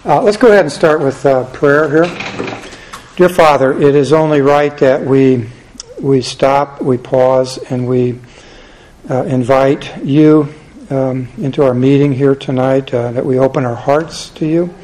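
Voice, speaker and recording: A soft-spoken man teaching a church Bible class and leading a prayer, halting with frequent fillers. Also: mid-range voice, fairly even intonation, low-quality recording.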